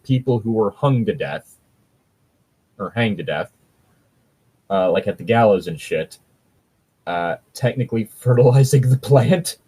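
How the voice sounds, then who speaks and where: A man's voice: on the low side, mellow, husky, no room sound. A man casually reading and critiquing a story as a podcast host, rambling, sweary and critical. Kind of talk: casual commentary with read-aloud passages.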